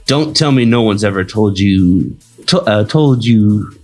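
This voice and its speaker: A man voicing a calm, teasing male minotaur, an older brother, in a comic story reading. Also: lowish voice, unhurried, faint music behind.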